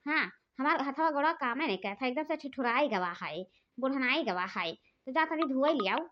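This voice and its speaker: A woman's voice: high-pitched, animated, normal speed. A woman voicing a quarrelsome, earthy village sister-in-law in a cartoon comedy, scolding and complaining with an Awadhi accent. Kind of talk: rustic cartoon comedy dialogue. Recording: dull lo-fi sound.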